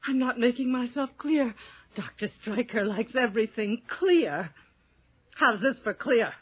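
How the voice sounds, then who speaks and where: An actress playing a scientist's neglected wife, bitter and accusing, in an old radio drama. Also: female voice, on the high side, animated, unhurried, slightly rough. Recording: dull lo-fi radio drama recording.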